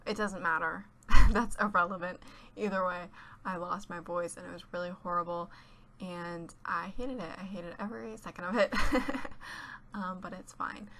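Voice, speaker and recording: A woman's voice: lowish voice, fairly even intonation, moderate pace, sharp tone. Chatty teenage girl with a stuffy cold. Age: teens. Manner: chatty, rambling, giggly. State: congested from a cold. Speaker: YouTuber. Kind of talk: casual voice-over chat. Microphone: home voice-over mic.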